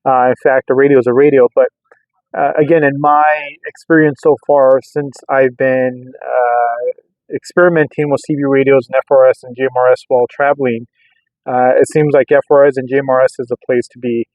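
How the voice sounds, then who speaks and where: A man casually rambling through a hobby product test in a hesitant, halting way, often repeating words. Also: medium pitch, flat delivery, no echo. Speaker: radio hobbyist vlogger. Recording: narrow, phone-like, lo-fi sound.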